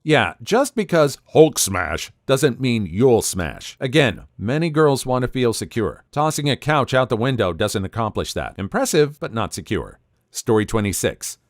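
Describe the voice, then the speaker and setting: Man, narrator reading posts, wry, very expressive pitch, dry sound. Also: normal speed, no room sound. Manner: wry, animated delivery. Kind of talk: scripted narration of reader stories.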